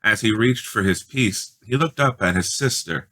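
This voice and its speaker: A man reading a children's story aloud in expressive, measured, dramatic narration. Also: lowish voice. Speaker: storybook reader.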